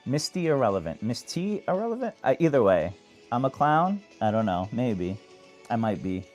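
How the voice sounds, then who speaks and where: A man from New York hosting a late-night phone livestream, giving earnest advice to viewers in a monotone way. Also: medium pitch, moderate pace, soft background music. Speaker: livestream host. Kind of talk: casual live chat answering viewers.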